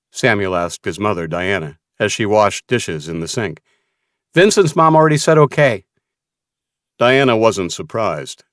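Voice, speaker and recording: Male narrator, lowish pitch, scripted thriller audiobook read, very dry recording. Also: a bit bright, no room sound.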